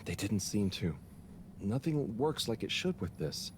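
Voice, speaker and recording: A man's voice: lowish voice, big pitch swings, unhurried. A man voicing a hesitant, anxious young game character, with faint noise behind.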